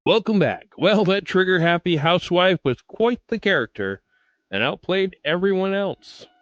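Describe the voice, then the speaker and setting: A man's voice: high voice, animated, moderate pace, dry recording, lo-fi sound. A man hosting a podcast, reading a scripted sign-off. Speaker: podcast host.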